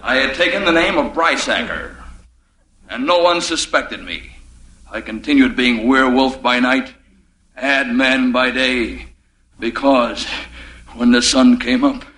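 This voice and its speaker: Bright, hammy 1950s radio ad man. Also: mid-range voice, unhurried, long pauses. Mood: confessional, self-satisfied. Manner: hemming and throat-clearing, jargon-laden. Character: flustered, touchy werewolf posing as slick advertising executive. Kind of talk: 1950s radio comedy sketch acting and narration. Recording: noisy vintage 1950s radio recording.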